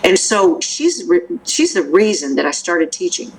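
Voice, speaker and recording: A woman's voice: lowish voice, big pitch swings, measured pace, slightly rough, low-quality recording. An older woman from the Memphis area, a vocal coach talking casually in an interview, rambling with restarts and fillers.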